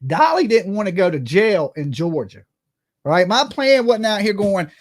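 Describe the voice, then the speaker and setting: A livestream host with a medium-pitched voice delivers casual, gossipy commentary. The talk is brash and salted with profanity, and points are often capped with a checking "okay?" or "right?". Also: very animated, normal speed.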